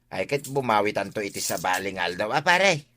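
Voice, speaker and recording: An actor with an Ilocano accent playing a persuasive tricycle driver in a radio comedy drama. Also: male voice, lowish voice, sing-song, a little fast, pushed and bright, slight background noise. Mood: complaining. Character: persuasive male tricycle driver pleading his case.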